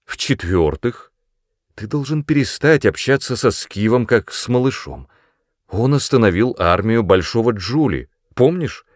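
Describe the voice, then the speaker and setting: A man's voice: medium pitch, animated, unhurried, no room sound, clean studio sound, voice pushed up, natural voice. A man voicing a playful, self-assured woman adventurer in an audiobook, teasing and assertive. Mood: firm, reasoning.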